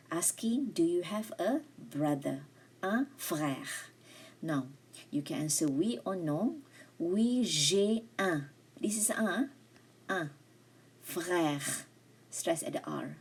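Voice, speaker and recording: A woman teaching beginner French over slides, speaking English with a Malaysian accent, slowly repeating and modelling each phrase. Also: fairly low pitch, darkish tone, husky. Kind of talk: recorded lecture over slides.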